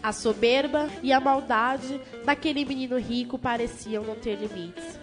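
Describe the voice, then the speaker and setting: A woman's voice: fairly high pitch, moderate pace, close to an everyday voice. A woman with a Brazilian accent as the storytelling narrator of a scripted radio drama. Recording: prominent music behind the voice.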